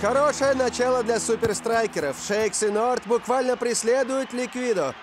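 A man playing an excitable, dramatic sports commentator in a dubbed cartoon, with music behind him. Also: very high-pitched, normal speed. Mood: eager. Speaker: match commentator.

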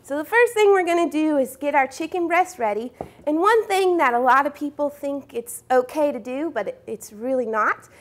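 A woman's voice: high-pitched, animated, moderate pace. A young woman hosting a cooking show, explaining each step in a friendly way in a studio kitchen. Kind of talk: cooking demonstration. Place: home-style studio kitchen.

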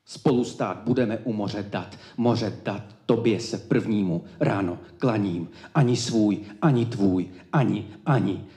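A man's voice: on the low side, mellow. Echoey male slam poet, declamatory. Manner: declamatory, emphatic phrasing with deliberate pauses. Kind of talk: slam poetry performance. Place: indoor cultural evening event, live before an audience. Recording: echoey live room.

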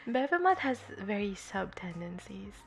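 A woman's voice: mid-range voice, big pitch swings, moderate pace, vocal fry, faint music behind. A young woman streaming from home, chatting casually while she draws, giggling often and rambling with lots of fillers into a desktop microphone. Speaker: streamer drawing live. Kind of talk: casual chat while drawing. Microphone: desktop USB microphone.

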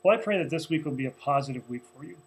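A man's voice: on the high side, lively intonation, rapid-fire, a little hiss or hum. A man in his forties, a pastor, recapping a sermon to camera from a small office in an earnest, conversational way with many fillers. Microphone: webcam at desk, close framing.

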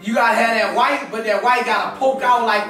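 A high-voiced man chats casually and rambles while he hunts for words. He is picked up from across an echoey room. Hip hop music plays behind him. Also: fairly even intonation, fairly quick, recorded from a distance. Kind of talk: casual chat.

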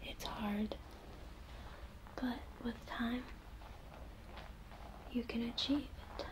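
A young woman doing an ASMR role play in a soothing, reassuring, breathy whisper, close to the microphone in a room. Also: a little high, background noise. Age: young adult. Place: small indoor room.

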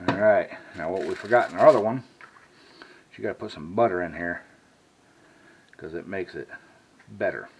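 A man's voice: low voice, moderate pace, long pauses, slight background noise, low-quality recording. A man hosting a casual cooking video, talking folksy to viewers while he preps and grills. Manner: folksy, talks to viewers as "y'all". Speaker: cooking channel host. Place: home kitchen, then outdoor grill. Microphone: handheld camera mic.

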